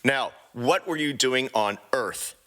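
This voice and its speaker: A man's voice: medium pitch, slow, pushed and bright. A man playing an exasperated leader of space misfits in a fan fiction reading, scolding as he goes. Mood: stern, scolding.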